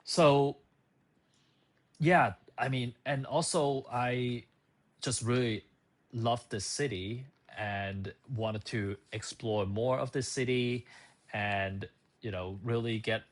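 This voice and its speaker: A man's voice: medium pitch, fairly even intonation, slow. Man in his thirties chatting to camera. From Hong Kong. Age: 30s. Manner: loose and conversational, many fillers and restarts. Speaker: channel host. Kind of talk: talking to camera, casual personal story.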